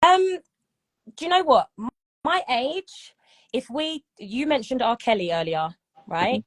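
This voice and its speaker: A woman's voice: fairly high pitch, lively intonation, unhurried. A woman in her thirties, a singer, chatting casually in an interview and often checking "do you know what I mean?". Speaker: singer and guest.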